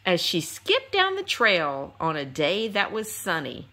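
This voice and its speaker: A woman reads a picture book aloud for children. Her voice is bright and clear-cut, and her pitch moves up and down in an animated way. She keeps a rhythmic, sing-song storytelling delivery. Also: measured pace. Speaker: read-aloud channel host.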